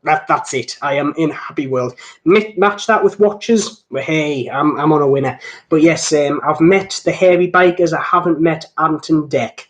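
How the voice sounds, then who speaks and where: A man with a Geordie accent hosts an informal live stream. His voice sits a little higher than most men's. He talks in a rambling, chatty way, jokey and happy to poke fun at himself as he responds to viewers. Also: normal speed. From north-east England. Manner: rambling, jokey, self-deprecating. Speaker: host of a watch channel live stream. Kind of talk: casual live-stream chat, answering viewer comments.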